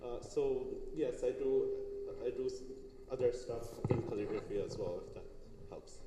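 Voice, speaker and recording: A man's voice: mid-range voice, normal speed. Hesitant man in an echoey gallery hall. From Bangladesh. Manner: hesitant, many fillers and restarts. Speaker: artist giving a talk. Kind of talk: casual artist talk, answering questions. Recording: echoey hall, noisy room.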